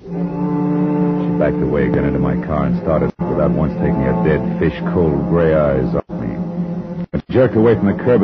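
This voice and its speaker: Hard-boiled detective, very low voice, dry wisecracks, muffled old radio. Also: male voice. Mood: narrating. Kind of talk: 1950s radio drama acting and first-person narration. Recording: muffled 1950 radio broadcast with faint hiss.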